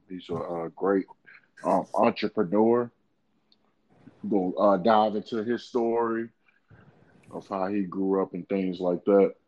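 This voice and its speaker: A man hosting a podcast asks casual interview questions haltingly, with lots of "uh" fillers, and calls his guest bro. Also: deep voice, a little mellow, muffled recording. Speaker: podcast host.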